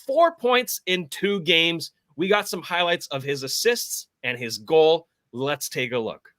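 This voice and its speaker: A man's voice: fairly high pitch, lively intonation, a little slow, a bit bright, dry recording. A man co-hosting a sports podcast, introducing segments and chipping in with brief, casual hockey remarks. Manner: short interjections and presenter lead-ins.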